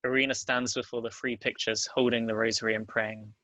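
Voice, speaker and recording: A man's voice: mid-range voice, flat delivery, fairly quick, sharp tone, no echo. A man reading stage directions as the narrator of a screenplay.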